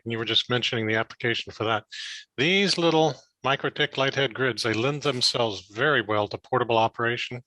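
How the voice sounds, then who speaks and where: A man's voice: medium pitch, bright, forceful tone, dry recording, poor audio. A man from a ham radio club explaining equipment on a video call, enthusiastic and stumbling over restarts. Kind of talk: casual technical talk on a video call.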